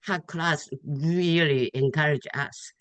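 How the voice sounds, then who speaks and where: A woman from Japan with a Japanese accent, giving an informal, heartfelt testimonial in a halting way, searching for words and repeating phrases. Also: low-pitched, fairly even intonation, no room sound. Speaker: Tai Chi student giving thanks at an online gathering. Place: online video call.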